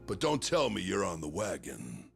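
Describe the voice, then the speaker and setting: An actor playing a big, intimidating male yakuza boss in a game cutscene, talking with a rough, drawling swagger. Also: deep voice, huge pitch swings, moderate pace, music in the background, full character voice. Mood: gruff. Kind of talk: video game cutscene acting.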